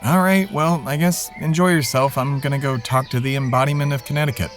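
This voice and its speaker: A man's voice: mid-range voice, fairly even intonation, brisk, faint music behind, natural voice. A man playing a casual, testy podcast producer and sidekick in a comedy audio drama, talking informally and hesitantly. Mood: exasperated.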